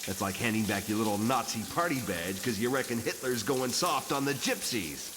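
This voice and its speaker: An actor playing a gruff, blunt, wisecracking tough mutant brawler in a motion comic. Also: male voice, mid-range voice, lively intonation, normal speed, noisy background, natural voice. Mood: sardonic. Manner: blunt, crude, sardonic.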